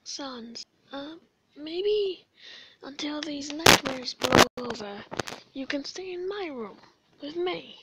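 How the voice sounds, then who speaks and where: A woman voicing an earnest, caring, excitable male skeleton in an amateur comic dub, dramatic and formally phrased. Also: high voice, slow, heavy background noise. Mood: concerned. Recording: dull, echoey room recording.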